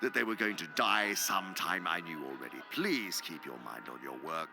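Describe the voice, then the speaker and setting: An actor playing an imperious senior demon in a radio play, lecturing pompously and theatrically with precise diction and hushed, emphatic drops. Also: male voice, deep voice, expressive, moderate pace, vocal fry, over music. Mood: scolding. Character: senior male demon, imperious scholarly uncle, exacting mentor. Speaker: senior demon lecturing his subordinate nephew.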